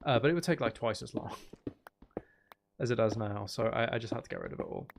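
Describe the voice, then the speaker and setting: A man's voice: lowish voice, wildly swooping pitch, brisk, gravelly, background noise. A man streaming a game, chatting casually in a rambling, hesitant way as he thinks aloud. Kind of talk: casual chatty gaming livestream commentary.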